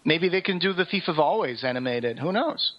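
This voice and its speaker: A man's voice: fairly high pitch, animated, fairly quick, muffled recording. A man co-hosting a podcast in casual chat, talking with enthusiasm and firm opinions. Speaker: podcast co-host.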